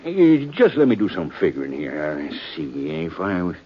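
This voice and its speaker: An actor playing a kindly, fretful wagon driver in a radio western, halting and muttering in a husky, rural American voice. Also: male voice, low-pitched, huge pitch swings, exaggerated voice. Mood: reluctant, pondering. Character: kindly, fretful frontier wagon driver, good-hearted and folksy. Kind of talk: 1950s radio western acting. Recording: dull old radio recording.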